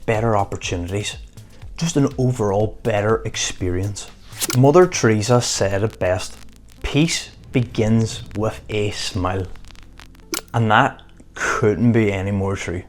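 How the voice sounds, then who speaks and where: Earnest low-voiced man with motivational talk. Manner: earnest, emphatic, short punchy phrases. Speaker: motivational vlogger.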